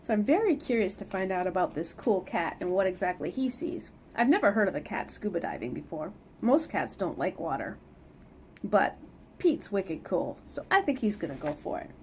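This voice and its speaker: A woman's voice: mid-range voice, normal speed, a little hiss or hum. A middle-aged woman reading a children's picture book aloud expressively, with sing-song emphasis and casual asides. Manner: animated, sing-song emphasis for young listeners. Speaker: read-aloud storyteller. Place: small plain indoor room.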